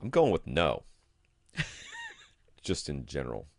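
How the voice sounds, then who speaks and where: A man's voice: a bass voice, huge pitch swings, a little slow, dry recording, studio quality. A man co-hosting a podcast in a casual, informal and blunt way, sometimes reading out listener questions. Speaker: podcast co-host.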